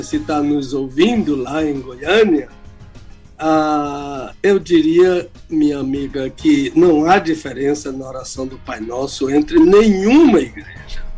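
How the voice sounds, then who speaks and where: An older retired pastor from Salvador, Bahia, with a mixed Brazilian accent, teaching the Bible on radio in a calm, explanatory way. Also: male voice, a little high. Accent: mixed Brazilian Portuguese, little Bahian.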